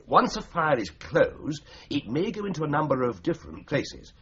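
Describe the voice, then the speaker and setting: An actor playing a breezy, flippant solicitor in a radio drama, English accent, with a droll, mock-pompous and wordy manner. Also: male voice, a little high, very animated, moderate pace, a bit bright, highs cut off, slight background noise. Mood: drily instructive. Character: breezy, flippant, theatrical solicitor in a stuffy London office. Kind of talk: 1980s radio drama acting.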